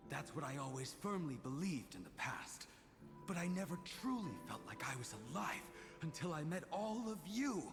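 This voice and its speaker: An actor playing a joyful yet wistful swordsmith in a game, speaking in a heartfelt, expressive way. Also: male voice, a little high, moderate pace. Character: joyful, wistful male swordsmith, warm-hearted among friends. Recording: long echo, music behind.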